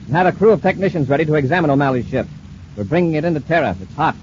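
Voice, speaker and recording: Actor as brisk space commander, noisy old radio. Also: male voice, fairly high pitch, expressive, darkish tone, muffled recording, lightly acted. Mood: commanding. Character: authoritative, decisive male space patrol commander. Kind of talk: 1950s radio drama acting.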